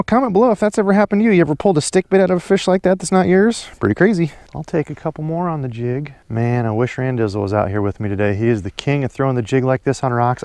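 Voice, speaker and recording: A man's voice: on the high side, darkish tone, dry recording. Chatty man fishing from a pond bank. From Iowa, USA. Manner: chatty, upbeat, folksy sayings. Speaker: fishing vlogger. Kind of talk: casual narration while fishing. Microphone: head-mounted action camera.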